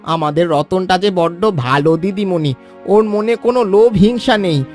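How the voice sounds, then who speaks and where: High-voiced loyal, blunt village uncle, acted by a man. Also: moderate pace, darkish tone, faint music behind, lightly acted. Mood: sorrowful. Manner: blunt, exclaiming, aggrieved. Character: loyal, indignant village uncle, protective of young friend. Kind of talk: animated Bengali children's story acting.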